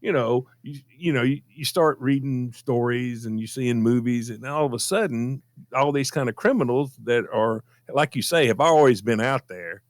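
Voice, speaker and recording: A man's voice: medium pitch, moderate pace, dry recording. A man with a Southern American accent telling an anecdote casually in a folksy, repetitive, emphatic way. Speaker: storytelling show regular.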